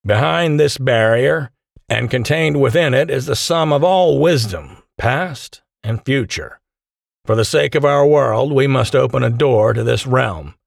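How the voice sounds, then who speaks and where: A man voicing an imposing, charismatic leader of about forty with a transatlantic accent, giving grand, measured oratory in an audiobook. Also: mid-range voice, animated, gravelly, no room sound, clean studio sound, voice pushed up. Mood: solemn, rousing.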